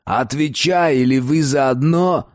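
A man's voice: mid-range voice, slow, voice pushed up, natural voice. A man voicing a vicious male gangster in an audiobook, snarling threats and barking short, menacing orders. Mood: furious threat. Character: vicious, menacing male criminal enforcer called Satan.

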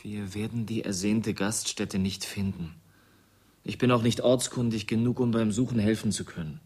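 A man's voice: lowish voice, steady tone, moderate pace, a little mellow, slightly rough, dry recording. An actor playing a frail, despairing boy in a radio drama, speaking in formal, solemn words. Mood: weary, thirsty. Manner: formal, solemn, literary diction. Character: frail, despairing freezing boy named Anders. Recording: music behind the voice.

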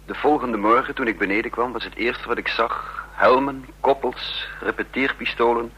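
A man's voice: medium pitch, steady tone, normal speed, sharp tone, husky, low-quality recording. An actor playing an earnest, respectful young man in a Dutch radio drama, with a deferential way of talking. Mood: tense, surprised.

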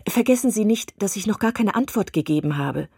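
A woman's voice: medium pitch, expressive, brisk, gravelly, warm tone, husky, dry recording, studio quality. A woman voicing a spirited young woman who firmly and precisely refuses a suitor, in an audiobook. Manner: firm, exasperated, precise. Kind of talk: audiobook reading of a classic novel.

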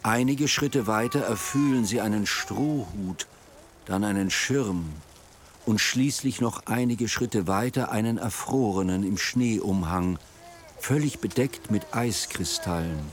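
A man's voice: on the low side, a little slow, a little hiss or hum. A man reading scripted storytelling narration for a radio play. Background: music.

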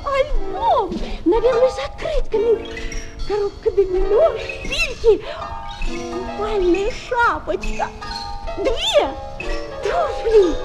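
Very high-voiced, wide-eyed little girl. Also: very animated, takes it slowly, over music, heavily acted. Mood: puzzled wonder. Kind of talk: children's radio play acting.

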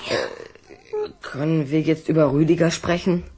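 A performer playing an earnest, easily flustered schoolboy in a children's audio drama, with a husky voice and a hesitant, slightly sulky manner. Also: mid-range voice, fairly even intonation, normal speed, darkish tone, lightly acted. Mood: flustered, reluctant.

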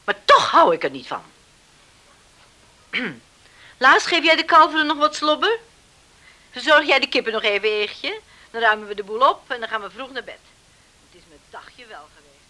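An actress playing a brisk, strict but caring farm mother in a radio play. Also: high voice, big pitch swings, sharp tone, exaggerated voice, rough recording. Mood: stern, brisk.

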